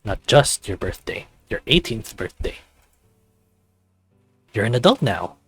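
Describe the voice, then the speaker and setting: Man doing a low-key, teasing teenage buddy. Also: sing-song, measured pace, vocal fry, husky, exaggerated voice. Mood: playful. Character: laid-back, teasing teenage male best friend.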